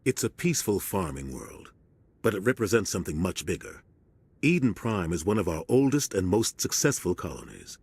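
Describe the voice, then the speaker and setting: A serious male starship captain briefs his crew in a very deep, husky voice. The game-cutscene delivery is steady and earnest, recorded close and dry. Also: normal speed, dry recording, heavily acted. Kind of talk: video game cutscene acting.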